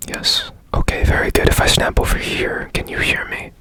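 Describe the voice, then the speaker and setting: A man whispering in a breathy, deliberate way up close to the mic, in an ASMR roleplay as a mysterious repairman. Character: strange secretive man repairing a broken robot. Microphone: close ASMR microphone.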